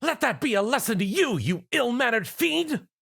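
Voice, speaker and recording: A man voicing a dramatic, refined young woman who is a fashionista, in a high put-on voice. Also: big pitch swings, normal speed, gravelly, a bit bright, husky, exaggerated voice. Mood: haughty.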